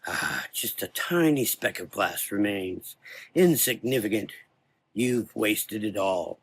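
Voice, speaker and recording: A man playing a menacing, supernatural dark hunter in a gothic horror audio drama, speaking slowly and deliberately with cold, sneering contempt and quiet rage. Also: on the low side, expressive, breathy edge, no room sound, pitched below the performer's own voice, exaggerated voice. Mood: weary disdain. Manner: slow, deliberate, coldly contemptuous.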